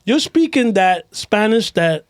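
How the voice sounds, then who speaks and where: A man's voice: high voice, big pitch swings, unhurried, no echo. A man, in a dry recording. He hosts an interview casually, with supportive, informal slang. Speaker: interview host.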